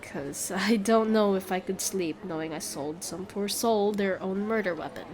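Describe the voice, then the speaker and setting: A woman's voice: medium pitch, moderate pace, a little mellow, slight background noise, natural voice. A woman playing a smug, haggling female merchant with glib, theatrical sales patter in a fantasy audio drama. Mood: brisk, sly. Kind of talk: scripted fantasy audio roleplay.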